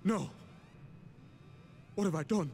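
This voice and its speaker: High-pitched, desperate young game hero. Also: male voice, takes it slowly, a little mellow, slightly rough, full character voice. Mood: horrified. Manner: urgent, anguished. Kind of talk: dramatic video game acting. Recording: game music behind the voice.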